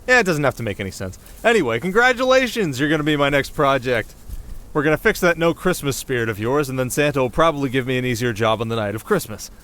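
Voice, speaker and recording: A man's voice: medium pitch, expressive, fast talker, fairly bright tone, lightly acted. A man playing a cocky, playful young winter spirit in an ASMR roleplay, talking casually with sarcastic, teasing banter. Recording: clear outdoor ambience noise behind voice.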